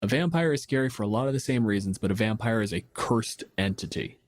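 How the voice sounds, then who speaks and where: Guy podcast host, lowish voice, dry close sound, wry and sweary, explains stuff. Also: expressive, quick pace, no room sound. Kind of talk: casual podcast chat.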